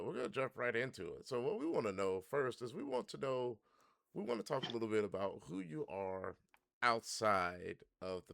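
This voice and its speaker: Lively gravelly male podcast host. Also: on the low side, dry recording, studio quality. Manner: laughs often, playful and effusive. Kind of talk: casual interview chat.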